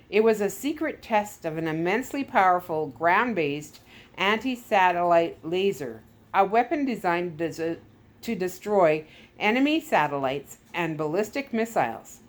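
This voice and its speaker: A smug Soviet KGB skipper , as a woman reader puts him on, the talkative male villain of a storybook adventure. He gloats in a deliberate, theatrical way, pleased with himself and keen to explain. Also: on the low side. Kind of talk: storybook read-aloud villain.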